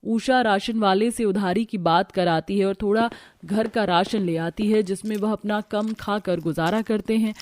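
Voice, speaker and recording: A woman's voice: mid-range voice, normal speed, darkish tone, soft background music. A woman narrator reading a scripted family story for a cartoon.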